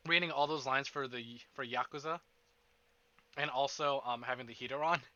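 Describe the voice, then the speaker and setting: A man chatting casually to livestream viewers in an animated, self-interrupting, playfully profane way, as host of a game stream. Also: mid-range voice, moderate pace, dry recording, dull audio. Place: indoors at a desk.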